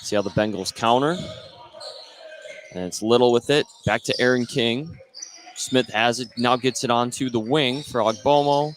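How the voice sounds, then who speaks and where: American man calling basketball over loud gym noise. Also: medium pitch, moderate pace. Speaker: play-by-play announcer. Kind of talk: live basketball radio commentary. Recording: noisy gym broadcast. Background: bouncing basketballs and gym noise.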